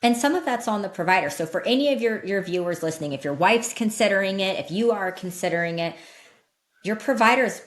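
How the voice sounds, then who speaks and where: A woman with a slightly deep voice, speaking as an expert guest in a candid, informal, emphatic way, explaining things in an interview conversation. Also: lively intonation, fast talker. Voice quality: slightly deep voice that cracks when loud. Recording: roomy echo.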